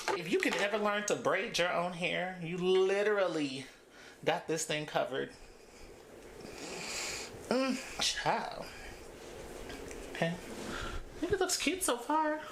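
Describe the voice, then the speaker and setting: A woman's voice: fairly low pitch, sing-song, takes it slowly, long pauses, echoey room, noisy background. A woman in her thirties chatting casually as she braids her hair for her vlog, laughing often between thoughts. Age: 30s. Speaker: beauty vlogger.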